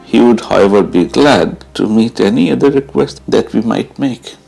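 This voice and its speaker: A man giving a measured, deliberate dramatised reading of a first-person memoir, as narrator. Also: fairly low pitch, creaky, darkish tone, breathy edge, faint music behind, rough recording.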